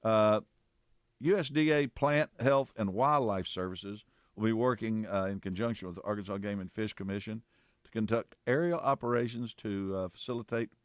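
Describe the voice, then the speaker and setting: A man co-hosting a casual radio chat in a halting, folksy way. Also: on the low side, clear, resonant tone, no echo, studio quality. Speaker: radio show co-host.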